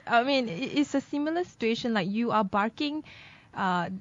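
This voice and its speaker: A woman co-hosting a casual news commentary show, chatty and quick to laugh. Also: on the high side, animated. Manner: chatty, laughs often, many fillers. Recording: dull lo-fi podcast audio.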